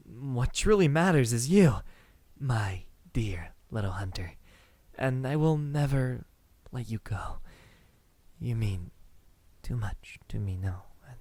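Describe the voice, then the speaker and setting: A man voicing a possessive, tender, gentlemanly centuries-old vampire in an ASMR roleplay, speaking softly and intimately with sighs and pauses. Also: medium pitch, expressive, no room sound. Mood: possessive, longing.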